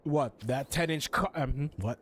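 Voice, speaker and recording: A man's voice: mid-range voice, unhurried, slight background noise. A young man doing casual gameplay commentary as a streamer, rambling and joking in slang. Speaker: video game streamer.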